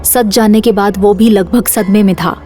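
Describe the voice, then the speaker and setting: A woman's voice: mid-range voice, steady tone, normal speed, mellow, faint music behind. A woman reading scripted suspense narration for an audio drama series.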